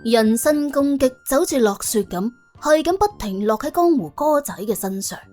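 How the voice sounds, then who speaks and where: A woman with a Cantonese accent narrating a comic audiobook in a colloquial, wry and animated way. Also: high-pitched, normal speed, faint music behind.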